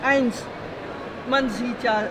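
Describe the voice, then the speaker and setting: A woman's voice: a little high, steady tone, very slow. An older woman, a craftswoman exhibitor, explaining in a hesitant way with many fillers at a fair. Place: busy indoor convention hall. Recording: noisy hall ambience.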